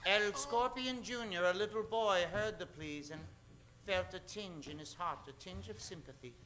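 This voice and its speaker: A man narrating a comedic old-time radio play with theatrical delivery and dramatic pauses. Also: high voice, bright, forceful tone, soft background music, rough recording.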